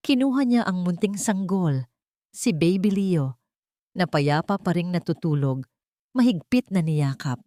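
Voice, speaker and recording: A woman's voice: on the low side, darkish tone, dry recording, clean studio sound. A woman reading a scripted dramatic story as narrator.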